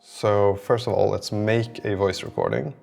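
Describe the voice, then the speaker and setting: Male vlogger, low gravelly voice, casual unscripted rambling, music underneath. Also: flat delivery, unhurried, darkish tone. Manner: rambling, thinking aloud. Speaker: solo app developer vlogger. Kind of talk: unscripted casual vlog monologue.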